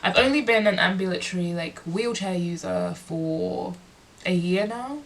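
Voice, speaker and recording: A young woman speaking candidly in a personal vlog, congested and sniffly, reflective and filling pauses with lots of "like". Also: on the low side, a little hiss or hum. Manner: reflective, heavy use of "like" fillers. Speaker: musician and performer.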